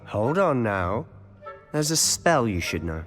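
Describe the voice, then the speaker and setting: A man's voice: medium pitch, big pitch swings, slow pace, fairly bright tone, soft background music. A man voicing a confident, sly teenage wizard in a video game, with a British accent and a wry, conspiratorial manner. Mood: confident, instructing. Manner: conspiratorial, wry, self-assured. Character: confident, sly teenage schoolboy wizard, loyal rule-breaker.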